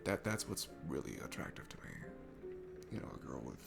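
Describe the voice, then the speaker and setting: Shy, insecure guy at a bar, played by a man: gravelly, animated, halting and awkward, intimate ASMR roleplay with music behind him. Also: a bass voice, full character voice. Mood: shy, confiding. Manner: nervous, halting, rambling, apologetic with awkward laughter.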